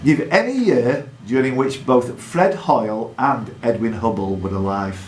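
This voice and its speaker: A man's voice: medium pitch, unhurried, darkish tone. Echoey male pub quizmaster. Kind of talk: live pub quiz hosting, reading questions aloud. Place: indoor pub. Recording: echoey pub room with background noise.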